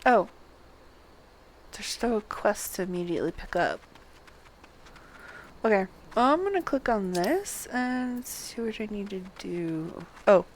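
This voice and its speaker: A woman's voice: medium pitch, lively intonation, takes it slowly, pauses often, a little hiss or hum, low-quality recording. A woman casually narrating her gameplay as a streamer, murmuring to herself and repeating phrases as she goes. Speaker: game streamer.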